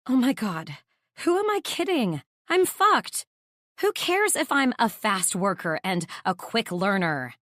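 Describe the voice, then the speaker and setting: A woman acting as an anxious young female assistant tells her own story in a romance audiobook. She is wry, dramatic and self-deprecating, with a medium-pitched voice recorded very close and dry. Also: big pitch swings, measured pace, fairly bright tone, no echo. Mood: anxious, rattled. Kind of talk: first-person romance audiobook narration.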